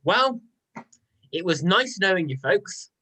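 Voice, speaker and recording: Man playing a high, flippant trickster god. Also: big pitch swings, slow pace, pushed and bright, full character voice. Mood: mocking. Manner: flippant, sarcastic. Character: sardonic, petulant male trickster god. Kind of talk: amateur dramatic reading of a myth.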